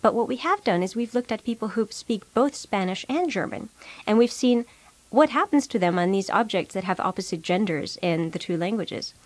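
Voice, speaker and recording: A woman's voice: medium pitch, lively intonation, no room sound. Woman researcher, native Russian speaker. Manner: measured, explanatory, many filler ums and uhs. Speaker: psychology researcher. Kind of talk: interview answers, explanatory.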